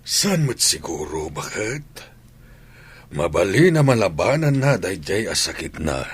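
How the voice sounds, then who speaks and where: A man's voice: sits low, sing-song, a little slow, slightly rough, full character voice. A man acting in a radio drama as a fond, concerned old grandfather.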